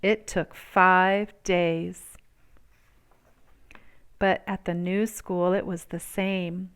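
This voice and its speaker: Clear, smooth-voiced woman reading a story aloud. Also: fairly low pitch, flat delivery, extremely slow. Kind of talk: expressive read-aloud narration.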